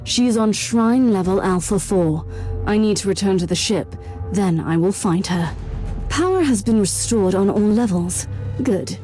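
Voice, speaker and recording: A woman's voice: mid-range voice, animated, unhurried, dark tone, faint music behind, close to an everyday voice. A woman voicing a stern, zealous battle-sister Inquisitor in a game cutscene, terse and commanding. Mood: resolute. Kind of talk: scripted game cutscene dialogue.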